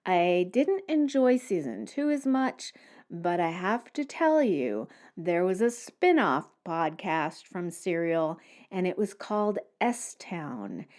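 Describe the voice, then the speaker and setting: A woman's voice: fairly low pitch, big pitch swings, takes it slowly, darkish tone. A woman hosting a solo podcast, explaining things in a conversational way. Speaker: podcast host.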